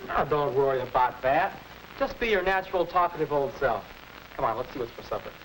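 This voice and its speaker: A man's voice: mid-range voice, expressive, a little fast, a bit bright, natural voice. A young man playing a breezy, self-assured American older brother in his teens, casual, in a 1940s instructional film. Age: teenager. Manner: casual, confident. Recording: old film soundtrack, hissy and somewhat distant.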